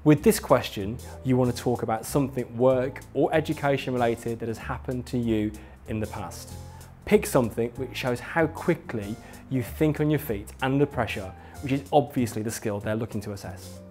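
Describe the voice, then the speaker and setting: A man's voice: mid-range voice, moderate pace, darkish tone, faint music behind. A man presenting a scripted lesson as an instructor, talking directly to the listener in an emphatic, encouraging, explanatory way. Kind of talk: scripted instructional narration addressed to viewer.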